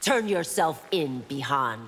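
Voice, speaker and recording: A woman's voice: sits low, lively intonation, measured pace, a bit bright, exaggerated voice. An actress playing a stern, dutiful female warrior constable in a video game, formal and firm. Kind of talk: video game character dialogue. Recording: long hall-like reverb.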